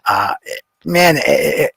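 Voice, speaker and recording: Husky, indignant male boxing guest. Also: on the high side, expressive, slow pace, fairly bright tone, dry recording. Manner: indignant and emphatic, with many stumbles and repeats. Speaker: guest on a boxing talk stream. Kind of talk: casual opinion talk.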